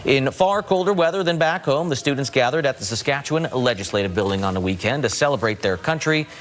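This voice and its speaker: A man's voice: medium pitch, animated, fast talker. A man anchoring a local evening newscast, reading stories and market prices. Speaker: news anchor.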